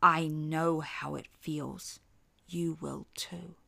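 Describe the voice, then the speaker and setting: Casting: female narrator as vengeful daughter for a dark story, cold, flat, deliberate delivery. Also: deep voice, vocal fry, heavily acted. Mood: cold resolve. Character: cold, vengeful wronged daughter in her father's body.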